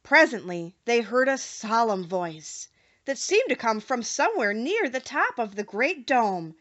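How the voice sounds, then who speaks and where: A woman reads scripted storybook narration with a very lively, swooping delivery. Her voice is fairly high and carefully phrased, and the recording is slightly dull. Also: unhurried. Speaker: audiobook narrator.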